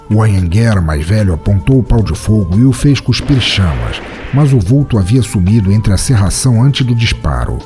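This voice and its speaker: A man giving dramatic, suspenseful audiobook narration. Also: fairly low pitch. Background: soft background music.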